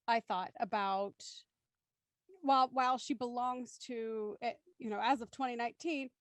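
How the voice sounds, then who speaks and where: A woman's voice: a little high, dry recording. A woman hosting a conversational discussion, thinking aloud as she works her way into questions. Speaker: host moderating a post-show discussion.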